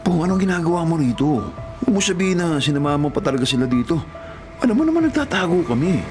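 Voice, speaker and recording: An actor playing a humble, devoted young Filipino gardener in a radio drama, speaking earnestly and tenderly. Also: male voice, fairly high pitch, big pitch swings, quick pace, over music. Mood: worried, apologetic.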